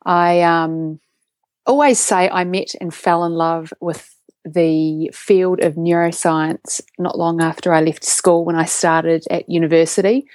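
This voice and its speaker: A woman's voice: deep voice, a little mellow, no room sound. A woman in her forties with a New Zealand accent, a guest chatting eagerly in an interview with many ums and restarts. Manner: enthusiastic, with frequent restarts and ums. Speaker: guest, neuroscientist and science communicator. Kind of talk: conversational interview.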